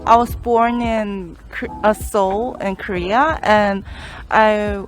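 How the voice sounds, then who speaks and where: A young woman answering interview questions in slow, halting phrases. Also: mid-range voice, steady tone, soft background music, low-quality recording.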